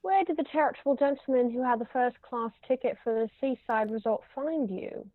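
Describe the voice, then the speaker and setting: A woman's voice: brisk, lightly acted. A woman reading the part of an imperious, snobbish older aristocratic lady in a stage comedy, pompous and formal in manner. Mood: incredulous. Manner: pompous, formal, pronouncing judgments. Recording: roomy, muffled low-quality recording.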